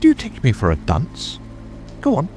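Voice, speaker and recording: A man playing an irritable, pompous king who gives sharp, threatening commands in an audiobook dramatization. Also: medium pitch, wildly swooping pitch, moderate pace, faint music behind. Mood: angry. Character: imperious, irritable king presiding as judge, threatening.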